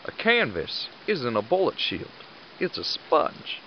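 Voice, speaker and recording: A man playing a blunt, commanding, gruffly fatherly squad leader with a sarcastic edge in an audio drama. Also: mid-range voice, huge pitch swings, slow pace, dull audio, slight background noise, heavily acted. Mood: reflective, gruff.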